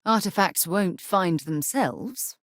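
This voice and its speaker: A woman voicing an earnest, principled space explorer and loyal companion in a video game, speaking in an articulate, measured way. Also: fairly low pitch, no echo, studio quality. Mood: composed. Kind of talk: video game character voice lines.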